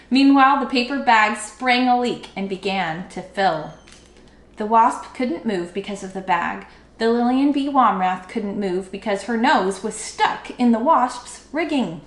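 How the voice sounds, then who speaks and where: A woman reading a children's book aloud expressively, with dramatic, drawn-out emphasis. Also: on the high side. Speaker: storybook read-aloud teacher. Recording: echoey room.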